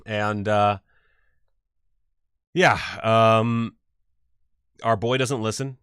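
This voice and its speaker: Rambling, profane man with a low voice. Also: fairly even intonation, extremely slow, a bit bright, smooth, no room sound, studio quality. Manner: rambling, profane, self-mocking. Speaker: podcast host. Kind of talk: casual movie-discussion livestream.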